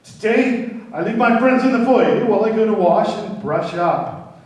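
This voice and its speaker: A man playing an agitated, indignant diamond merchant in a radio play, excitable and formal in his foreign-sounding phrasing. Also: high-pitched, moderate pace. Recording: very echoey, distant from microphone.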